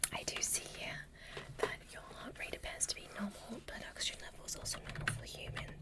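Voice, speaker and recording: A woman voicing a gentle, curious alien doctor in a breathy, soothing whisper for an ASMR medical roleplay. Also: close to an everyday voice. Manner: soothing, softly instructing.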